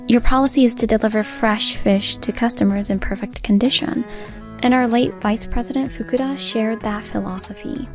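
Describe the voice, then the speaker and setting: A woman's voice: a little high, natural voice. A woman voicing an earnest, assertive young female store manager in a comic dub, speaking emphatically. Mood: earnest, apologetic. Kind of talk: dubbed comic voice acting.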